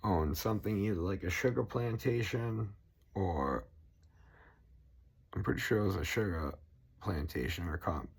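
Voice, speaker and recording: A man's voice: deep voice, moderate pace, vocal fry, pauses often, husky. A man with a Boston accent ranting at length, swearing constantly and rambling. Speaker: political vlogger. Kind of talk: profane rambling rant to camera.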